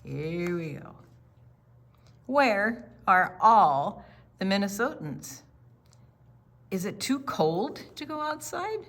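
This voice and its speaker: Woman, storytime reader, animated intonation, unhurried pace, picture-book read-aloud for young children. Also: medium pitch. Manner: question-and-answer delivery aimed at young children.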